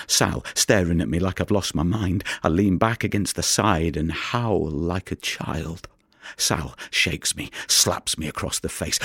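An actor playing a haunted fisherman in an audio play, telling his story intensely and haltingly in a gravelly, breathy voice. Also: low voice, no echo, studio quality, exaggerated voice. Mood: anguished. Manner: intense, halting storytelling that drops toward whispers. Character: haunted fisherman recounting a rescue at sea. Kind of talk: dramatic monologue in an audio play.

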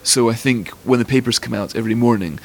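A man with a posh Scottish accent speaks in measured, articulate sentences as an interview guest. His voice has a gravelly, creaky edge at mid pitch, and he sounds like an editor weighing each point. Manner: articulate, fluent, considered.

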